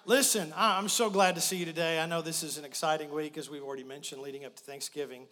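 Man, pastor, head cold, high voice, chatty sermon, long hall reverb. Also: fairly even intonation, fast talker, sharp tone. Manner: chatty, joking asides. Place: church sanctuary.

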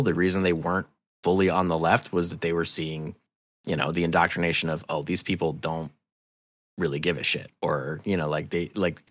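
A man's voice: sits low, a bit bright, no room sound. A man speaking as a podcast guest in a casual, musing, digressive way. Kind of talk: casual conversational chat.

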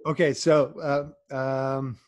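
A man hosting a relaxed interview over a video call, laughing along with his guest. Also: medium pitch, slow pace. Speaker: podcast host.

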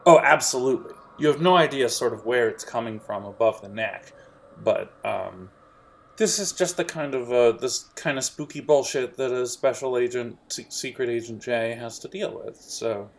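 A man narrating as game master of a tabletop role-playing game, describing scenes in a halting, lively way. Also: mid-range voice, vocal fry, a little hiss or hum.

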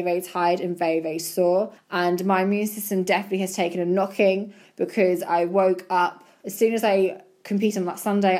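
A woman giving chatty, encouraging advice about bodybuilding show prep, her voice slightly croaky. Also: medium pitch, mellow. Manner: chatty, encouraging, repeats words for emphasis. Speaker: bodybuilding competitor and podcast host. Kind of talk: casual advice monologue.

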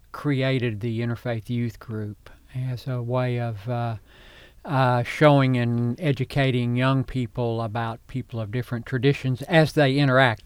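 A man hosts a conversation show, introducing and questioning his guest with small restarts. His voice is clear and smooth at a middle pitch, in a dry close recording. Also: no echo. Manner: warm, slightly halting, repeats words. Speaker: host, reverend. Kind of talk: interview hosting.

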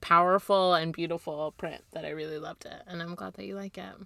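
Lowish-voiced casual woman podcaster, dry sound. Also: fairly even intonation, brisk, gravelly, a bit bright, dry recording. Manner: informal, self-deprecating. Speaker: podcast co-host.

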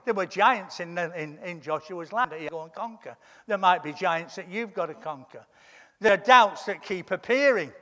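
A pastor preaching a sermon in a fervent way, his voice croaky from a cold. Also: high voice, pushed and bright, in a large hall. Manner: fervent preaching, repeats words when building up.

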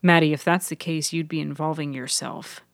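A woman's voice: sits low, fairly even intonation, moderate pace, dry recording. A woman voicing an earnest, cautious and slightly awkward young man in a fiction podcast. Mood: concerned. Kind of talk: audio fiction character dialogue.

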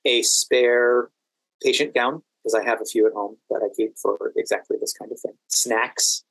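A man answering interview questions in a measured, careful way, pausing mid-sentence as he explains. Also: medium pitch, steady tone, gravelly, a bit bright, dry recording, poor audio. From United States, Washington DC area. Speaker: podcast guest, standardized patient and exam event administrator.

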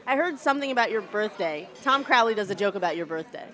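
A woman interviewing casually over breakfast, curious and quick to laugh. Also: medium pitch, expressive. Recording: noisy crowded diner. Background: crowd chatter.

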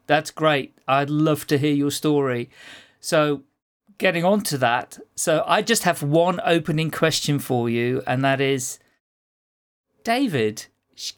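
Interview host, encouraging, mid-pitched voice, casual chat. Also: lively intonation, a little slow. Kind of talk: podcast interview.

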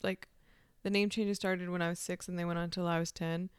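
Pakistani-born woman chatting on a podcast, super clear voice, dry close sound, lots of ums. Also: on the low side, little pitch movement, a little fast, no room sound. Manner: thoughtful storytelling with frequent "um" and "like". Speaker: actor, interview guest. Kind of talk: casual interview conversation.

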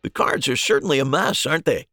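A man's voice: medium pitch, lively intonation, fast, vocal fry, a bit bright, faint music behind, voice pushed up. A man voicing a patient, reassuring magician in a story, coaxing with simple leading questions. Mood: upbeat, coaxing. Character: reassuring magician coaxing someone gently through a trick. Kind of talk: audiobook dialogue.

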